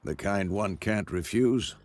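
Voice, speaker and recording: A man's voice: fairly low pitch, slow pace, darkish tone. A man playing an older warrior mentor in a video game, plain-spoken, frank and wry. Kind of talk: video game cutscene acting.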